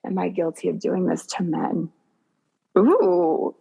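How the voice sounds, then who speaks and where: A woman's voice: lowish voice, slow, gravelly, dark tone. A woman guest in a casual chat, hesitant and thinking aloud as she talks. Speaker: podcast guest.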